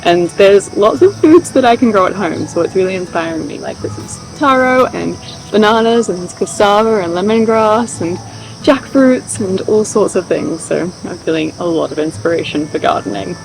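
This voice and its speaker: A woman's voice: mid-range voice, lively intonation, normal speed, gravelly, music in the background, low-quality recording. A woman vlogger giving an enthusiastic, casual, unscripted tour. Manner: enthusiastic, admiring.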